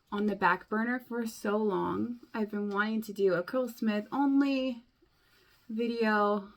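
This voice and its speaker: Chatty young woman, slightly hoarse. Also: medium pitch. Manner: chatty, rambling, many likes and ums. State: a bit hoarse from a cold. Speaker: beauty vlogger. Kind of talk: casual chat to camera.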